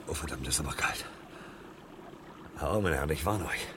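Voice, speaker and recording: A man's voice: very deep voice, expressive, fairly quick, very noisy. A man acting a hunted fireman on the run in a radio play, muttering agitatedly to himself, defiant, sarcastic and afraid.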